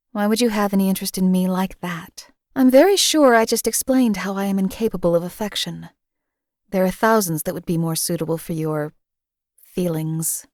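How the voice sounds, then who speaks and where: An actress voicing a detached female assassin companion in a video game, with deadpan, measured, emotionally flat delivery. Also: fairly low pitch, dry recording, studio quality, lightly acted.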